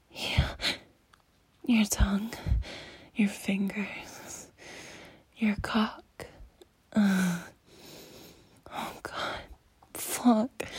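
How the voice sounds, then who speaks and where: A woman in an intimate adult audio roleplay, speaking in a breathy, teasing near-whisper with frequent sighs. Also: on the high side, darkish tone, no echo. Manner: slow, intimate, teasing, sighing.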